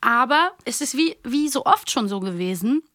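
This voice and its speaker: A woman's voice: a little high, expressive, normal speed, a bit bright, no echo, studio quality. A younger woman chatting casually as a podcast co-host, with a chatty manner. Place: podcast studio. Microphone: close studio microphone.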